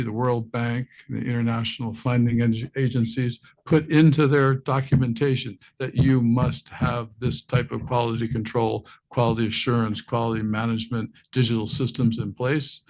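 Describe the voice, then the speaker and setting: Panelist, male, mid pitch, straightforward explanatory answer. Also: level tone, normal speed, a little mellow, poor audio. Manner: direct, explanatory. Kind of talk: roundtable answer. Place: press club conference room.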